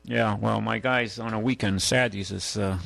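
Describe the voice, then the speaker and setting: Male talk-radio host, deep and gravelly, loose halting delivery, close dry studio sound. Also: fairly even intonation, fairly bright tone, dry recording. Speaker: radio call-in show host. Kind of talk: casual talk radio, reading news and chatting. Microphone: close studio microphone.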